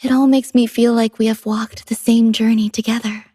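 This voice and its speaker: A woman voicing a gentle, devoted female android musician in a video game, soft-spoken, tender and poetic in a measured delivery. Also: on the high side, flat delivery, slightly rough, no echo, clean studio sound. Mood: tender, serene. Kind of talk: scripted game character voice lines.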